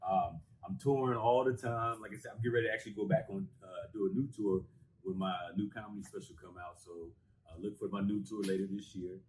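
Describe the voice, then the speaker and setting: Deep-voiced laid-back male comedian. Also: fairly even intonation, fast, a little mellow, slight background noise, low-quality recording. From Las Vegas, USA. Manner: laid-back, chuckling, loose and playful. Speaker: stand-up comedian guest. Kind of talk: casual interview chat. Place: in a moving vehicle.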